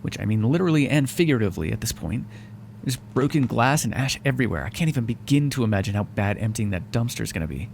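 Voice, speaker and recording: A sardonic male vampire, acted out by a man in an intimate audio roleplay. His mid-pitched voice swings widely and crackles with fry as he grumbles, wry and exasperated, at the listener. There is faint noise behind him. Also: animated, fast, a little mellow. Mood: grudging, dry. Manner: sarcastic, wry, exasperated, conversational. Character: sardonic, foul-mouthed male vampire, grudgingly helpful, hungry. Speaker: roleplay voice actor.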